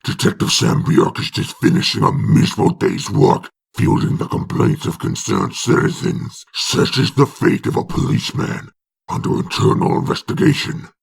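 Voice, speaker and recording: A man with a very gravelly, breathy rasp giving dramatic scripted narration of a comic book. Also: fairly low pitch, expressive, normal speed.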